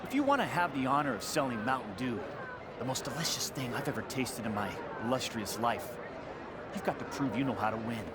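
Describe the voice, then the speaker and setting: A man's voice: mid-range voice, sing-song, fairly quick, lightly acted. A man playing a boastful, easily offended self-styled video gaming champion in a game cutscene, with bombastic, grandiose delivery. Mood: pompous boasting. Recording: loud crowd and outdoor urban noise.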